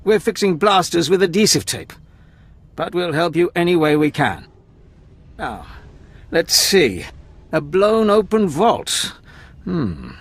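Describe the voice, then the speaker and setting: An Imperial major in a video game. He is affable and decisive, with a fairly high voice that moves freely up and down as he muses. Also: slow, long pauses, heavily acted. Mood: affable, musing. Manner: animated, musing. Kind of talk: video game cutscene acting.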